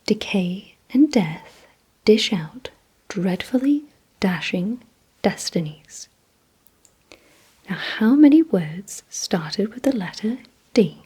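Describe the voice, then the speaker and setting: Breathy whispering ASMR woman, slow and deliberate. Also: mid-range voice, big pitch swings. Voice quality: breathy, mostly unvoiced whisper. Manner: slow, deliberate, hushed delivery with short pauses. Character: calm, softly commanding female vampire examiner. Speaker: ASMR performer. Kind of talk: whispered ASMR roleplay, giving instructions and word games.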